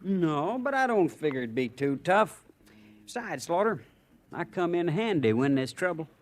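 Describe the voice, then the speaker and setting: An actor playing a cocky, touchy young gunslinger, curt and boastful, in an old-time radio Western. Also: male voice, a little high, sing-song, moderate pace. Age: young adult.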